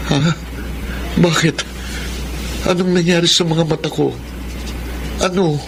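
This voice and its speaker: An actor playing an emotional, remorseful philandering husband in a Filipino radio drama. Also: male voice, fairly high pitch, steady tone, slow, background noise. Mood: distraught. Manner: emotional, pleading. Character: remorseful philandering husband, blinded in a car crash. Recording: loud dramatic music behind the voice. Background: loud music.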